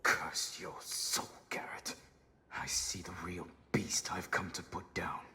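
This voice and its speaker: An actor playing a grim, righteous monster hunter in a gothic audio drama, speaking tersely and gravely with intensity. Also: male voice, deep voice, level tone, slow pace, sharp tone, whispered, exaggerated voice. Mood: bitter resolve.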